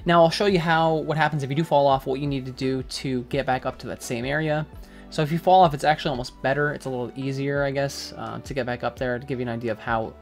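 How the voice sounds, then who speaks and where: A man's voice: medium pitch, fast talker, faint music behind. Casual man narrating a game guide. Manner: casual, relaxed, with frequent fillers. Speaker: gaming guide creator. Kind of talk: game walkthrough commentary.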